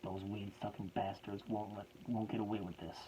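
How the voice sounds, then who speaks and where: A man voicing a gruff, determined male ogre hero in a put-on accent. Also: lowish voice, level tone, normal speed, mellow, slight background noise, lightly acted, poor audio.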